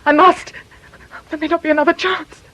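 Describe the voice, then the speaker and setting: An actress playing a terrified, desperate married woman in a radio drama, agitated and halting, with urgent, breathy delivery. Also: high-pitched, steady tone. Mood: anxious confession. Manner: agitated, halting, urgent, slipping into hushed tones.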